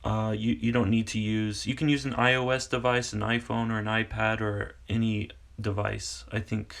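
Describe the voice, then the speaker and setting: A man in his forties from Kansas, a teacher casually explaining classroom games in a rambling, halting way full of fillers. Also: fairly low pitch, flat delivery, creaky, fairly bright tone. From Kansas, United States. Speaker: English teacher and video host. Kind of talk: casual tutorial talk.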